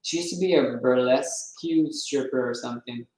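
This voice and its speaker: A man's voice: medium pitch, moderate pace, a little mellow. A man reading a novel aloud, now and then stumbling over words and correcting himself. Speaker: reader. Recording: roomy echo.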